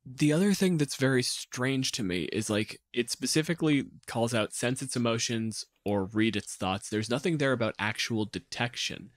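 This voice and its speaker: A man's voice: mid-range voice, normal speed, hardly any pauses, no room sound, clean studio sound. A man chatting casually as a podcast panelist, analytical and opinionated in the way he talks. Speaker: podcast panelist discussing tabletop game monsters. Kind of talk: casual chat.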